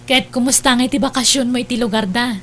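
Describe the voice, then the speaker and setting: Woman acting a high-voiced, caring big sister. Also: steady tone, fast talker, fairly bright tone, slight background noise. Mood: caring, curious. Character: caring, chatty older sister of the suitor. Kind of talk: Ilocano audio drama acting.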